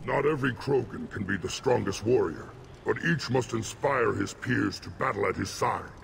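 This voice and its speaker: A man playing an ancient, imperious alien shaman in a video game, solemn and commanding, with a gravelly voice. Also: fairly low pitch, normal speed, fairly bright tone, slight background noise. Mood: stern, measured. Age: older. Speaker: clan shaman. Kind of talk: video game cutscene acting.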